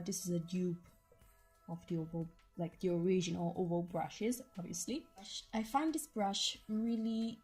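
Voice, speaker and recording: A young woman with a hoarse, strained voice talks through a makeup tutorial in a chatty, enthusiastic voiceover. Her pitch sits in a middle range, and soft music plays faintly behind her. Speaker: beauty vlogger. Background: soft background music.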